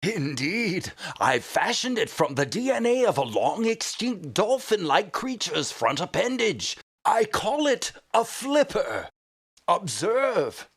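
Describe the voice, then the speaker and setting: A man's voice: high voice, big pitch swings, slow pace, fairly bright tone, breathy edge, heavily acted. A man voicing a doddery, proud, eccentric old cartoon scientist who makes grand, quavering announcements. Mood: proud, eager. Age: older. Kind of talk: cartoon character acting.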